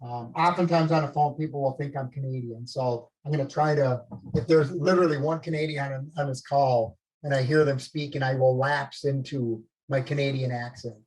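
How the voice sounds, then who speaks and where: A man's voice: medium pitch, fairly even intonation, moderate pace, hardly any pauses, a little mellow, rough recording. A man in his late fifties from northern Minnesota with a Minnesotan accent, talking about his career as a guest on a live video call, rambling and self-deprecating with many restarts. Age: late 50s. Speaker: featured guest. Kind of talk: conversational talk on a live call.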